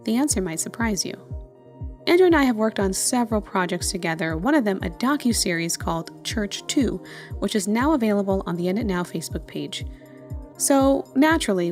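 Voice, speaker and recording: Woman podcast host over faint music. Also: mid-range voice. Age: 30s. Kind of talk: scripted podcast introduction.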